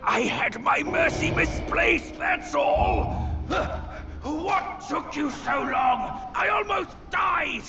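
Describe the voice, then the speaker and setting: Lecherous old pig demon, gravelly, slow, theatrical, scornful, video game drama. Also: male voice, extremely high pitch, lively intonation, shouting, muffled recording, very noisy, full character voice. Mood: scornful, frantic. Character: blustering, lecherous male pig demon, ageing and cowardly. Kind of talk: video game cutscene acting. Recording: music under the voice.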